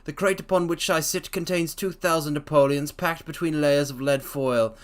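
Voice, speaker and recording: A man voicing a solemn, fussy older bank director who speaks in a hushed, anxious, formal way. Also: on the high side, fairly even intonation, moderate pace, lightly acted.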